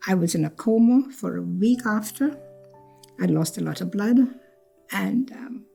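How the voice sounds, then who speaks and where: An older woman from Trinidad recounts her past in a reflective interview, often repeating herself and voicing the words of others. Her voice is mellow and carries a gravelly fry. Soft music plays faintly behind her. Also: medium pitch, expressive, a little slow. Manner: reflective, repeats phrases, acts out quoted speech. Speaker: cancer survivor telling her story. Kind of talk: personal storytelling interview.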